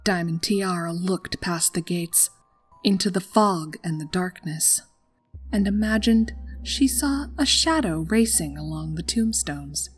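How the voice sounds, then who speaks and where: A woman narrating a scripted horror story in a dramatic, deliberate storytelling manner. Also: on the low side, animated, slightly rough, faint music behind. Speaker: fan fiction narrator.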